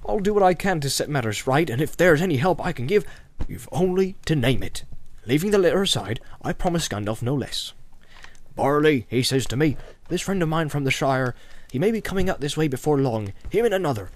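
A man's voice: a little high, animated, fairly quick, close to an everyday voice. Man playing a flustered, rambling country innkeeper. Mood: apologetic, anxious. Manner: rambling, stammering, folksy dialect speech. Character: flustered, rambling, good-hearted rustic innkeeper. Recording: music behind the voice.

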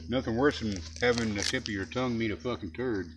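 A man's voice: mid-range voice, quick pace, a bit bright, rough recording. A man swearing constantly as he trades crude jokes outdoors on a forest trail, recorded on a handheld phone. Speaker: joker in a prank video. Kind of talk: crude casual banter.

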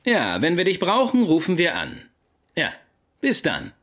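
An actor playing a calm, polite firefighter in a children's cartoon. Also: male voice, fairly high pitch, measured pace, exaggerated voice. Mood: friendly, apologetic. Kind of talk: animated children's series dubbing.

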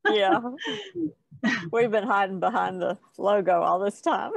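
Older woman, gravelly fry, unhurried pace, casual video-call chat. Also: medium pitch, no room sound.

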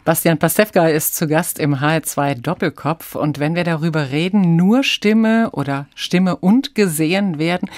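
A woman hosts a radio interview in a low-pitched voice. She presents and asks questions in a very dry studio sound. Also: darkish tone, no room sound.

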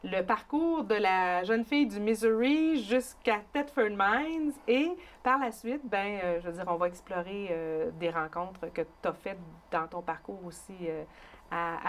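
A woman's voice: mid-range voice, unhurried. A middle-aged woman with a Quebec French accent hosts a community TV interview and guides her guest with informal, friendly questions. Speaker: talk show host. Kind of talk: TV interview hosting. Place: small community TV studio.

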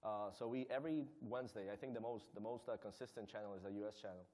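A man speaking as a panelist about a volunteer community project, in an energetic, conversational way. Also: fairly low pitch, quick pace. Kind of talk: conference panel talk.

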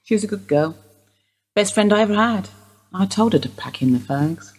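Woman acting a husky, dry older widow. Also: mid-range voice, expressive, moderate pace. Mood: matter-of-fact. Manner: dry, wry, unsentimental. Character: dry, blunt older widow under police questioning. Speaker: actor in a radio play. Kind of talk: radio drama dialogue.

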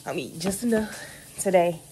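A young woman, vlogging casually from her bedroom into a phone at arm's length, rambling and relaxed, calling her viewers y'all. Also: fairly low pitch, moderate pace, a little hiss or hum, poor audio. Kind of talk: casual day-in-my-life vlog. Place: bedroom at home, indoors; later in a car in heavy rain. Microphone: phone camera held close at arm's length.

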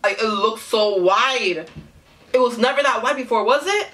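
A woman's voice: fairly high pitch, moderate pace, bright, forceful tone, slight background noise. A young woman in her twenties with a slight Nigerian accent, reacting to a music video from her bedroom with giggly, exclamatory commentary and frequent laughter. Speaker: YouTube reactor. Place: small bedroom, indoors.

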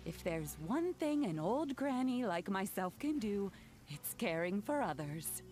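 An actress voicing a kindly, proud old granny in a video game, with a gentle, measured, reassuring manner. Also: female voice, medium pitch, sing-song. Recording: game music behind.

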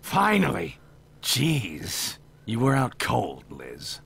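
A man playing a gruff, exasperated but friendly young workshop boss in a visual novel, with a gravelly, husky voice. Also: medium pitch, huge pitch swings, very slow, fairly bright tone, full character voice. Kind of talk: visual novel character acting.

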